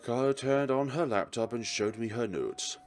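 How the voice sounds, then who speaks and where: A man's voice: mid-range voice, normal speed. A man reading a game's narration and dialogue aloud as a let's player, with casual asides. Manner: casual asides and short reactions. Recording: faint game music behind.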